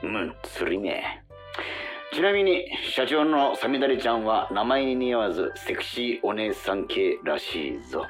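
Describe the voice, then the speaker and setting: Comic radio boss: sheepish middle-aged manager, lovestruck, halting, very animated intonation, music bed. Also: male voice, lowish voice. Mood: gossipy. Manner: halting, bashful, flustered. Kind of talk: comic radio drama acting. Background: background music.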